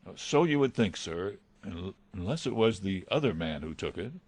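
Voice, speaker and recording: A man's voice: low-pitched, big pitch swings, moderate pace, a little mellow, dry recording, exaggerated voice. A man playing an anxious, deferential butler in a radio mystery drama, earnest and hesitant. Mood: uneasy, deferential. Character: anxious male butler, deferential, dreading villainy.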